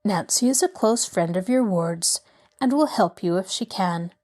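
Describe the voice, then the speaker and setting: A woman voicing a courteous middle-aged male lawyer who speaks in a measured, reassuring way. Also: medium pitch, animated, a little mellow, natural voice. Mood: calm.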